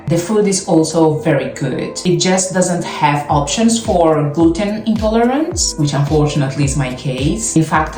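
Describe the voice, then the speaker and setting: A woman tells travel stories in a chatty, self-deprecating way. Her voice sits low, the room has a roomy echo, and music plays behind her. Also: darkish tone. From Portugal. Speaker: travel vlogger. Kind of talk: casual travel storytelling.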